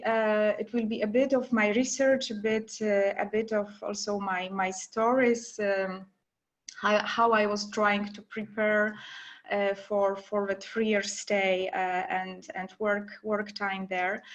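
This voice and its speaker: A woman with a Polish accent presents informally on a webinar. Her delivery is halting, with repeated words and searching pauses. Her pitch is average for a woman, and the call audio is dull and lo-fi. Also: fairly bright tone. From Poland. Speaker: webinar presenter, trainer and academic teacher. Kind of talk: informal lecture to an online audience.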